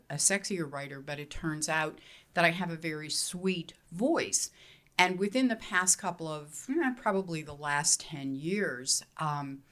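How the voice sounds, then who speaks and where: A middle-aged woman romance author from Pennsylvania, answering panel questions in a measured, explanatory way with some restarts, speaking into a handheld mic in a meeting room. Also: low voice, fairly bright tone. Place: hotel meeting room, seated at table.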